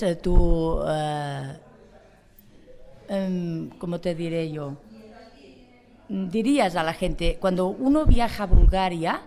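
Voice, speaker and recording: Mellow-voiced woman interviewer, hesitant. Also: fairly low pitch. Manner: hesitant, many fillers and restarts. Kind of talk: casual interview.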